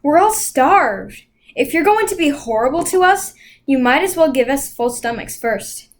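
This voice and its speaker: A woman's voice: high-pitched, lively intonation, moderate pace. Woman as defiant, hot-tempered storybook girl. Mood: complaining. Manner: sullen, defiant.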